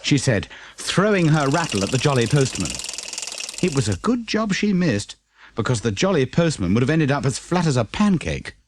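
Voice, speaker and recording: A man with a British accent reading a children's audiobook as an expressive, scripted storyteller. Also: medium pitch, no echo. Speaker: audiobook narrator.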